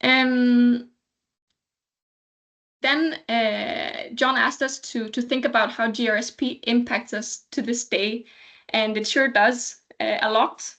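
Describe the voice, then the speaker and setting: A woman's voice: fairly high pitch, steady tone, slow pace, fairly bright tone. A woman from Sweden in her thirties giving a cheerful, casual update on a video call, laughing lightly. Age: 30s. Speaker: alumni speaker.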